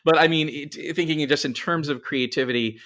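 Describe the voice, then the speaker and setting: A man's voice: fairly high pitch, a little fast, fairly bright tone. A middle-aged man talking casually as a writer being interviewed, animatedly explaining things and often repeating words as he goes. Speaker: writer and podcast guest.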